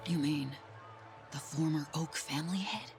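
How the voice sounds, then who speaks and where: An actor playing a polite, curious and somewhat hesitant worker in a video game. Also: male voice, fairly high pitch, moderate pace, creaky, soft background music.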